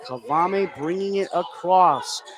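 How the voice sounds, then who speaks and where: A man with a slightly high voice does live radio play-by-play of a basketball game, following the ball from player to player. Loud gym noise sits clearly behind his commentary. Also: slow pace. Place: indoor high school basketball gym, courtside. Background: loud gym ambience.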